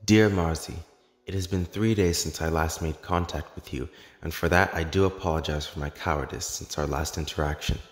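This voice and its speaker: A man playing a grave, lovelorn immortal in an animated drama, speaking earnestly in measured, formal, poetic phrases. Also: sits low. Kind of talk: dramatic animated-film voice acting. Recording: echoey hall reverb, music underneath.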